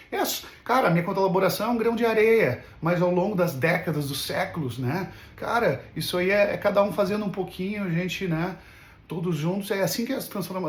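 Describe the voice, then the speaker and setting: A man talking casually as an English teacher in an unscripted vlog, rambling and often checking for agreement with little tag questions. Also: fairly high pitch. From Brazil. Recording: roomy, echoing room sound.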